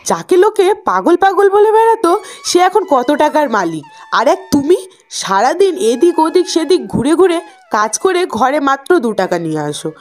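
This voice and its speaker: A woman's voice: high voice, very animated, normal speed. A woman voicing a nosy, envious village housewife who nags and gossips in a cartoon. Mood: scornful nagging. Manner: scolding, gossipy. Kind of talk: cartoon voice acting.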